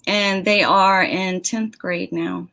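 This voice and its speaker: Relaxed, slow-paced American woman in her forties, casual guest voice. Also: mid-range voice, vocal fry. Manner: unhurried, casual, laughs easily. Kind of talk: casual interview.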